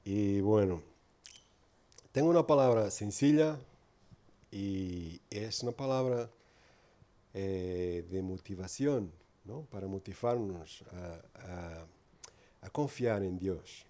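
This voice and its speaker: A man's voice: low-pitched, slow pace, long pauses, dark tone. A man from Portugal preaching in Spanish with a Portuguese accent, giving an earnest, informal sermon full of tag questions.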